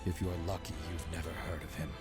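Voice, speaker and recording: An actor playing a wry, easygoing young healer in a video game, talking casually with dry humour. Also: male voice, extremely low pitch, steady tone, fast. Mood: wry, bitter. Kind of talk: video game cutscene acting. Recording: loud music under the voice.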